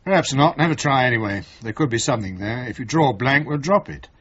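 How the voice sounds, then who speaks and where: Husky, brusque police chief. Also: male voice, medium pitch, lively intonation, fast, fairly bright tone. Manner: clipped, grumbling orders. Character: brusque, grumbling male police chief inspector. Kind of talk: radio drama acting. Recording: dull old radio broadcast recording.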